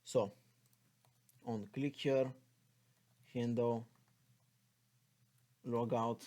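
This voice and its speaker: A man's voice: mid-range voice, little pitch movement, extremely slow, mellow. A man with a Bulgarian accent gives an unscripted, step-by-step coding walkthrough, thinking aloud as he types and spells out code. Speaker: programming tutorial instructor.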